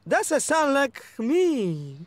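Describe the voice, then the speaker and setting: A performer playing an odd, easily hurt cartoon schoolboy who talks haltingly in broken phrasing. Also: high voice, huge pitch swings, dry recording, full character voice. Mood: puzzled.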